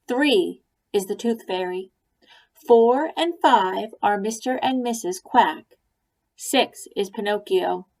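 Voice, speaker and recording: A woman voicing a bossy, organized young girl bunny, speaking brightly and with emphasis. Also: medium pitch.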